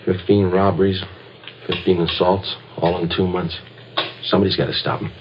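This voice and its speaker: An actor playing a weary, dutiful American police detective, clipped and matter-of-fact, in an understated 1950s radio drama style. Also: male voice, low-pitched, level tone, moderate pace, lightly acted. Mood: stoical, determined. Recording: muffled, noisy old radio recording.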